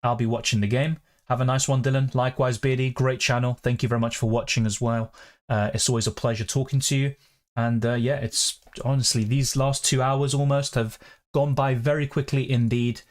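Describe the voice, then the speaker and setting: A man's voice: medium pitch, level tone, a little fast. A young man chatting casually on a live stream, rambling and reading out chat messages. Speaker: fantasy football streamer.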